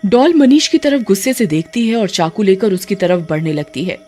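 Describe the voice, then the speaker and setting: A woman's voice: medium pitch, very animated, normal speed, mellow, faint music behind. A woman storyteller delivering scripted horror story narration.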